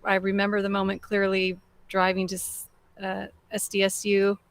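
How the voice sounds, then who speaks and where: A woman band member answering interview questions in a halting way, with many fillers. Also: medium pitch, completely flat delivery, no room sound. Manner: warm, halting, full of fillers.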